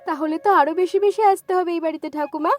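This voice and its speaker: Woman as an eager, high-pitched young granddaughter. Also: steady tone, fast, soft background music. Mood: delighted. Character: eager, grateful young granddaughter learning to cook. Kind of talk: cartoon story dialogue in Bengali.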